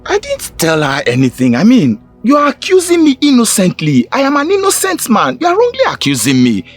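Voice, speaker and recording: A man's voice: very high-pitched, wildly swooping pitch, a little fast, soft background music, heavily acted. An actor playing an anxious young man who protests his innocence to his friends. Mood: defensive.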